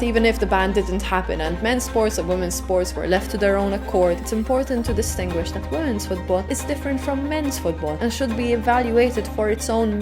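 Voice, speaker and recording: A woman narrating a scripted video essay as host, reading it out in a presentational way. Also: on the high side. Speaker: host of a women's football show. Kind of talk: scripted essay narration and table-read discussion.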